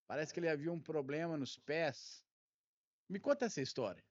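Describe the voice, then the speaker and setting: A Brazilian man hosting a podcast with a Brazilian Portuguese accent, asking questions in a halting way with restarts. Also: fairly high pitch, animated, no room sound. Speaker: podcast host. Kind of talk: interview questions. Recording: dry, dull sound.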